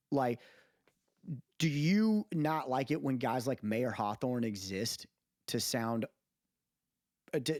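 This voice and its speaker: A man's voice: medium pitch, darkish tone, no echo, studio quality. A man hosting a podcast in casual, slangy conversation, opinionated and often checking that the listener follows him. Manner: opinionated, slangy, repeatedly seeks agreement with tag questions. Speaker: podcast host.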